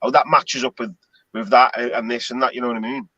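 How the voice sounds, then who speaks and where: A man making a calm, measured point on a panel, his tone bright and forward. The sound is dry and dulled, as if coming through a lo-fi online connection. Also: medium pitch, no echo. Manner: measured, repeats "you know what I mean". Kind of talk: casual panel chat. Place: online call.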